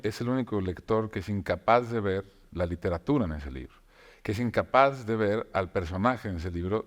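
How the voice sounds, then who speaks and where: Man, very low voice, thoughtful pauses, unhurried talk show chat. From Mexico. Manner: reflective, many filler hesitations and restarts. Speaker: novelist guest. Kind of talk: conversational talk show discussion.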